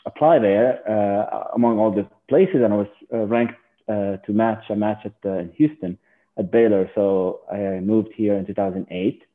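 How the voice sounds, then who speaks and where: A man from Lebanon, a physician guest in an interview, talking in a halting way with many fillers and restarts. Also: on the low side, steady tone. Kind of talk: casual interview. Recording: tinny phone line.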